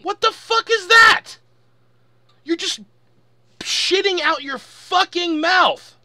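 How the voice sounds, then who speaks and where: A high-pitched man rants with exasperation in a very lively, swooping delivery. His tone is sharp and forceful, and he swears freely as he drives each point home. It sounds like an unscripted, irritated audio log. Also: takes it slowly. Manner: profane, exasperated, emphatic. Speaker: online commentator recording an audio log. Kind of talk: angry off-the-cuff rant.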